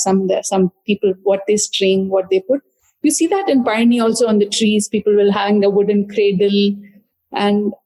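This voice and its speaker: A woman author, talking through her book in a thoughtful, halting way with many fillers and restarts. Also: medium pitch, darkish tone, rough recording. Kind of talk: conversational book discussion.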